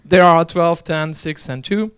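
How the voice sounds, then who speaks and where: Clear-voiced man giving a joking conference talk. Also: a little high, steady tone, measured pace. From Belgium. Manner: chatty, joking, restarts often. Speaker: conference speaker and organizer. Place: conference hall.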